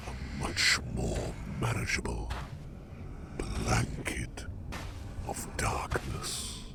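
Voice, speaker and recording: A man playing a solemn, protective sleep demon in an ASMR roleplay, speaking formally and deliberately in a gravelly, breathy half-whisper. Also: extremely low pitch, very noisy, heavily acted. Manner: formal, archaic, slow deliberate phrasing.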